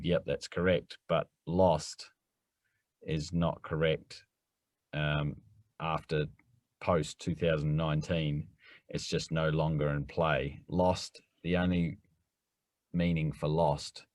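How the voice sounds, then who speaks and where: A man co-hosting a golf rules podcast, explaining quickly and teasing his partner in casual chat. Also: very deep voice, a little mellow, no echo, clean studio sound. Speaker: podcast co-host.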